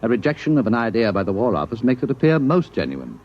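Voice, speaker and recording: An actor playing an earnest, formal and fussy British intelligence officer with a British accent in a 1950s radio drama. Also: male voice, mid-range voice, sing-song, quick pace. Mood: businesslike, pleased. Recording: muffled old radio recording.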